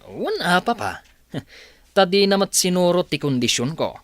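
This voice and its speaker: A man's voice: high voice, sing-song, normal speed, no room sound. A man playing an evasive, self-justifying son in an Ilocano radio drama, with a hesitant delivery. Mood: indignant.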